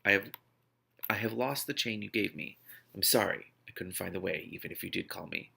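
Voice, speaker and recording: A man voicing a calm, formal vampire in a novel reading, slow, patient and measured. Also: fairly low pitch, creaky, breathy edge, heavily acted. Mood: apologetic, quiet.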